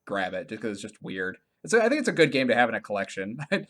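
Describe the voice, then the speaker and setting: A man's voice: on the low side, very animated, fast, a bit bright. A man co-hosting a podcast, chatting casually about video games in a rambling way and often laughing as he talks. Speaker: podcast co-host.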